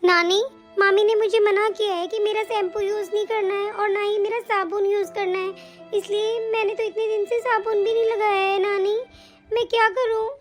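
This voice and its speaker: A woman's voice: very high-pitched, little pitch movement, normal speed, faint music behind, voice pushed up. A woman voicing a timid young girl who pleads and whines in a family skit. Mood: whiny. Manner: whiny, pleading. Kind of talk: comic family skit acting.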